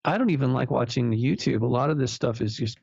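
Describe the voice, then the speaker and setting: A man in his sixties from Los Angeles, a guest on a radio show, talking in an animated way with frequent restarts and laughs. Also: mid-range voice, fast talker, creaky, breathy edge, no room sound, muffled recording. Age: 60s. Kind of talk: casual radio interview.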